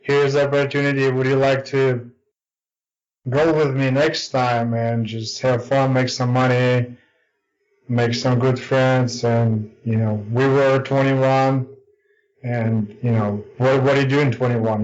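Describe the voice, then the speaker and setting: A young man from Ukraine with a Ukrainian accent, a podcast guest chatting casually and pausing often with ums and uhs. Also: medium pitch, little pitch movement, a little mellow. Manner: hesitant, many ums and uhs. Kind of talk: casual interview chat. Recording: dull lo-fi audio.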